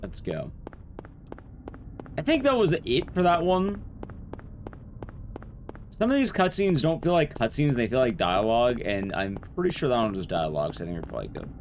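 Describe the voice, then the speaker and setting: A man's voice: mid-range voice, very animated, normal speed, long pauses, a little hiss or hum. A man giving casual, rambling live commentary on a game to his stream chat, informal and a bit sweary. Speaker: speedrun streamer.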